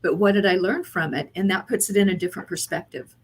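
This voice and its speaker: A woman's voice: fairly low pitch, quick pace, husky. A woman co-hosting a motivational podcast, speaking in a reflective, affirming way. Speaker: podcast co-host. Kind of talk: motivational chat.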